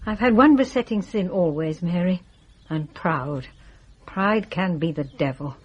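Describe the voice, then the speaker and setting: An actress playing a proud, ailing English widow in her sixties, husky and reflective, in a radio drama. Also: fairly low pitch, expressive, measured pace. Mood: wistful. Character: proud, ailing widow over sixty, affectionate but regretful. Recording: dull, muffled radio recording.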